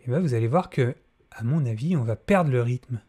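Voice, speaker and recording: A man's voice: mid-range voice, animated, a little fast, mellow, breathy edge. A middle-aged man hosting an informal spoken tutorial, close to a desk microphone in a small room. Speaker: audio fiction tutorial host. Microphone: close desk microphone, wearing headphones.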